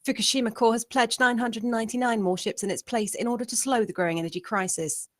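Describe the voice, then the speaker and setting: A woman acting a serious, formal television news anchor with a deadpan delivery in a comedy table read. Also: fairly high pitch, fast talker, dry recording, clean studio sound, lightly acted.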